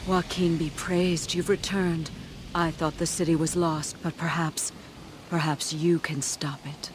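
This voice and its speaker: A woman playing a solemn, dramatic character in a fantasy video game, with halting delivery. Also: low voice, level tone, a little hiss or hum. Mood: fearful, urgent.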